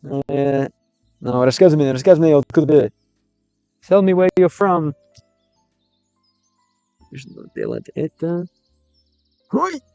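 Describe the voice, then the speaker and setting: A man's voice: on the high side, expressive, unhurried, long pauses, warm tone, clean tone, dry recording, muffled recording, faint music behind. A man livestreaming, chatting with viewers and translating game text as he learns a language, often restarting his sentences. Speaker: streamer learning Russian. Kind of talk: casual livestream chat with viewers.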